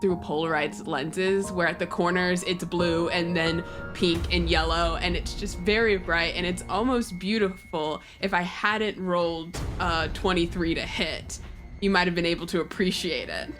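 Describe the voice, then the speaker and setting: A woman's voice: on the low side, fairly even intonation, moderate pace. A woman playing a tabletop game, chatting informally and describing things in a loose, casual way. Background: music behind the voice.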